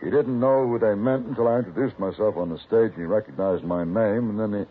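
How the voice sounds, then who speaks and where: A man's voice: lowish voice, fast talker, lightly acted. A man playing a homespun western sheriff. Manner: plain, homespun western speech. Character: weathered frontier sheriff, middle-aged man. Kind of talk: 1950s radio drama acting. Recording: tinny old radio broadcast with hiss.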